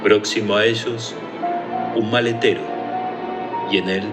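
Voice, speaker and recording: Guy doing a solemn radio drama narrator, really slow, deliberate, music behind him. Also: lowish voice, steady tone, lightly acted. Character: grave male narrator of a train mystery.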